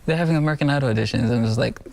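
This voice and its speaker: Casting: young male musician from Zambia, soft-spoken and casual, mid-pitched, halting fillers, TV studio guest. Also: gravelly. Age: 20s. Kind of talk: casual interview answers.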